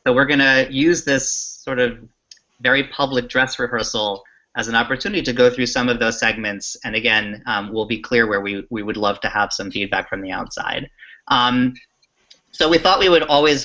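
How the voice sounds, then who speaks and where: A middle-aged man co-hosting a casual talk show over a video call, phrasing things haltingly with dry, self-deprecating humour. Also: medium pitch, bright, forceful tone. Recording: dull lo-fi online call audio.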